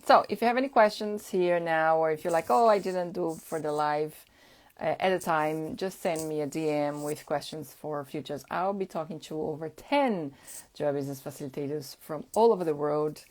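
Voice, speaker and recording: A woman whose first language is Portuguese, hosting a casual live-stream chat at an unhurried pace, with frequent fillers. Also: deep voice, a little mellow. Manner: unhurried, chatty, many fillers. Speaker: host.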